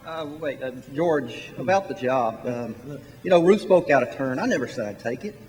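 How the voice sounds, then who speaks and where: An actor playing an affable, sheepish young brother in a radio drama, earnest in tone. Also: male voice, mid-range voice, animated, moderate pace, darkish tone, slight background noise, close to an everyday voice. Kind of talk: stage-style radio drama acting. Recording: hall reverb.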